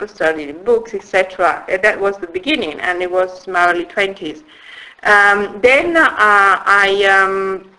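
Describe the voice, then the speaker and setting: Woman, Italian accent, forties, bright tone, halting, restarts, soft laughs. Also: mid-range voice, poor audio. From Italy. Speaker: interviewee. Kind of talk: personal life story in conversation.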